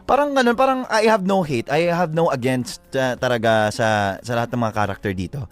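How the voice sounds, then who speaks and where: A man's voice: medium pitch, lively intonation, fairly quick. A Filipino man co-hosting a live show, chatting casually and giving earnest love advice, repeating phrases for emphasis. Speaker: radio show co-host giving advice to letter senders.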